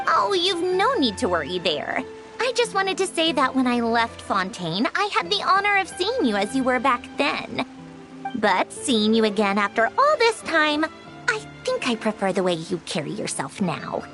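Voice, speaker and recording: A woman playing a gracious, composed young woman in a video game, speaking in a courteous, measured and sincere way. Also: sits high, wildly swooping pitch, over music, exaggerated voice. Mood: sincere, admiring.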